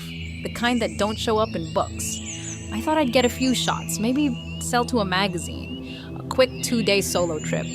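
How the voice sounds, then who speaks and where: A woman playing a haunted wildlife photographer, narrating a first-person ghost story with suspenseful, deliberate pauses. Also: mid-range voice, natural voice. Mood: uneasy, reflective. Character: haunted young woman wildlife photographer recounting a terrifying encounter.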